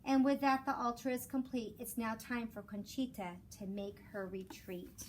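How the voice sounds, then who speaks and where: Female teacher, high-pitched, expressive children's read-aloud, measured delivery, clear background noise. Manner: measured storytelling with rhymed cadence. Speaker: elementary school teacher.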